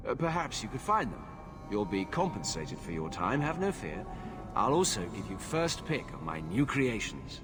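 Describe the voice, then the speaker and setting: A man's voice: fairly low pitch, sing-song, moderate pace, over music. A man playing an eager, courteous alchemist shopkeeper in a fantasy game, effusive and theatrical with hesitant asides. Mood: welcoming, eager. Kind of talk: dramatic game cutscene acting.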